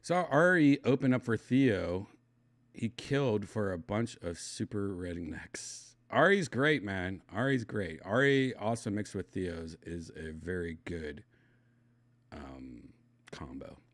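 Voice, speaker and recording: Middle-aged male livestream host from Wisconsin with a low voice and a slight lisp, loose mumbly delivery, dry close sound. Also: animated, slow, no echo. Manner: loose, mumbling, trails off into quiet asides. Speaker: livestream host reading chat. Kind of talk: casual rambling chat.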